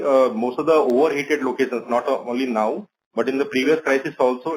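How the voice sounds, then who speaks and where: A man's voice: mid-range voice, rough recording. A man with an Indian accent, a bank executive methodically explaining points on an earnings conference call.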